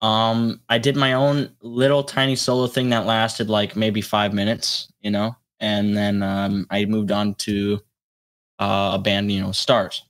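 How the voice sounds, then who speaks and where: A young adult man chatting casually as an interview guest, with a halting, rambling delivery. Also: on the low side, flat delivery, fairly bright tone. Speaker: guest, solo electronic musician.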